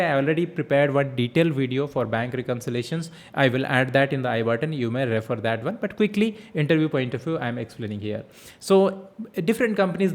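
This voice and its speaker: A man with an Indian accent giving an explanatory software tutorial as an instructor, posing questions and answering them himself, often repeating phrases. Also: mid-range voice. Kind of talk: explanatory tutorial lecture with interview tips.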